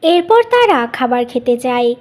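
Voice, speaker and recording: A woman's voice: high voice, sing-song, a little slow, a little mellow. A woman reading scripted narration for a cartoon family story.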